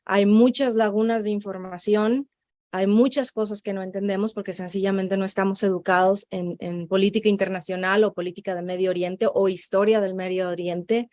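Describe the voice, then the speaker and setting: A woman's voice: mid-range voice, steady tone, fairly quick, dark tone, no echo, clean studio sound. A woman hosting an interview, restating and summarizing her guest's answers. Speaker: interview host.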